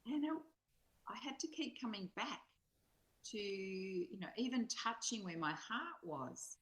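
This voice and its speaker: A woman speaking in a measured, reflective way, as in a spiritual reading and study conversation. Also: mid-range voice, expressive, fairly bright tone. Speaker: co-presenter reading and discussing a spiritual text. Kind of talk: slow read-aloud and reflective study conversation. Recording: roomy, echoing sound.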